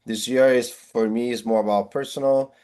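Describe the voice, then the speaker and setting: A man's voice: medium pitch, fairly even intonation, moderate pace, a little mellow. A man in his forties from Puerto Rico with a Puerto Rican Spanish accent, a real estate agent talking earnestly about his business and priorities. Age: 40s. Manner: earnest, motivational, with frequent restarts. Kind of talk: interview on video call.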